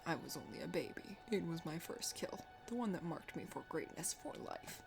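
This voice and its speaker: A woman's voice: on the low side, big pitch swings, moderate pace, gravelly, pitched below the performer's own voice. A woman voicing a huge, arrogant male dragon prince in a game, haughty, boastful and scornful. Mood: furious, boastful. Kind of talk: dramatic game character reading. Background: music.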